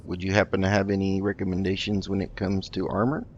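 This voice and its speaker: A man giving casual, in-character gameplay commentary, politely and hesitantly, rambling along with many pauses. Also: low voice, monotone. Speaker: game roleplay video host. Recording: dull lo-fi mic with background noise.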